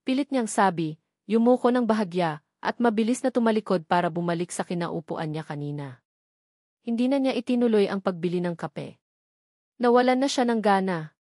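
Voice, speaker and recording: Filipino lady narrator reading a dramatic story, mid pitch, really dry close sound. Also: a little mellow, no room sound.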